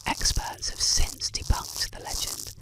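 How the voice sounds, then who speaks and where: A woman telling scripted bedtime stories in a hushed, breathy ASMR whisper, unhurried and carefully enunciated, close to the microphone. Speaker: ASMR storyteller and host.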